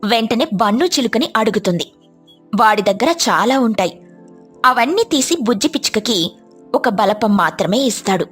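High-pitched woman telling children's stories. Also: lively intonation, normal speed, a bit bright, breathy edge. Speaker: storyteller. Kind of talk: scripted children's story narration. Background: music.

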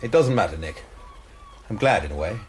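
Jittery college kid spilling everything, radio play, lively pitch. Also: male voice, fairly quick, a little hiss or hum. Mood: worried. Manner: anxious, rambling confession. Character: young male student confessing to a shove. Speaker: student suspect. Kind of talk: BBC radio drama acting.

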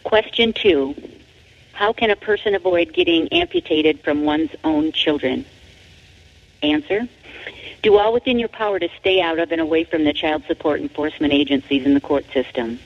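A woman with a creaky, gravelly edge to the voice narrates a book from a script. The delivery is deliberate and emphatic, and the recording sounds dull and lo-fi. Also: deep voice, animated. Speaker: author reading their own book. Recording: dull, lo-fi audio.

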